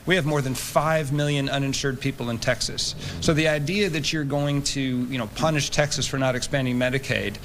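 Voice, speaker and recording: A man's voice: medium pitch, steady tone, brisk, few pauses, a bit bright, a little hiss or hum. A man giving an interview clip, making an argument in an explanatory manner.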